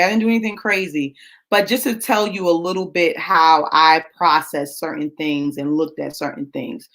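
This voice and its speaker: Chatty woman in her forties, lowish voice. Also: a bit bright. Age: 40s. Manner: chatty, confiding, tag questions. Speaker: live show host. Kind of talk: casual personal talk to viewers.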